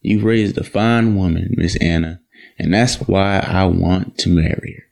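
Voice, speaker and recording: An earnest young man in love, acted by a man for an audio drama. His voice sits very low with a gravelly creak. He speaks politely and respectfully, like a devoted son. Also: a little slow, a little mellow.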